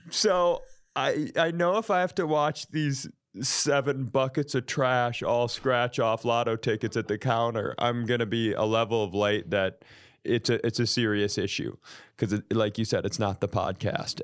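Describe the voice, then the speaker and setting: A man's voice: medium pitch, measured pace, clear, resonant tone, no room sound, studio quality. A man podcast host telling a casual, comedic story in an exasperated, profane way, acting out the dialogue as he goes. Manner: exasperated, profane, animated retelling with acted-out dialogue.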